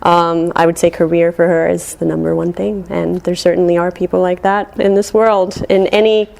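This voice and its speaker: A woman's voice: lowish voice, level tone, normal speed, gravelly, a little mellow. A woman actor from Finland, talking in a thoughtful, chatty way in a radio interview.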